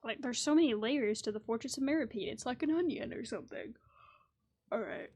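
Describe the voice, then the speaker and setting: High-voiced chatty woman gamer. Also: fairly quick, darkish tone, husky. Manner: chatty, thinking aloud. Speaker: gaming video host. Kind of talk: casual gameplay commentary. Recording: light game music behind voice. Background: video game music.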